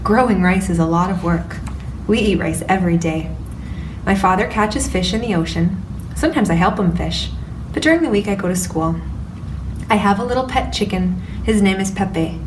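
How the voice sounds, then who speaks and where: A woman's voice: medium pitch, normal speed, roomy sound, close to an everyday voice. A woman voicing a cheerful young boy who lives on an island farm, in a childlike storybook reading, recorded from a distance with background noise.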